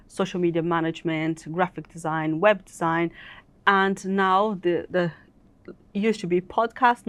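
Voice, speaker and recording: A woman's voice: fairly low pitch, no echo. A woman in her thirties who grew up in Brazil, the founder of an agency, chatting in an interview, laughing often and restarting her sentences. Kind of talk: casual interview chat. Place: content creation studio.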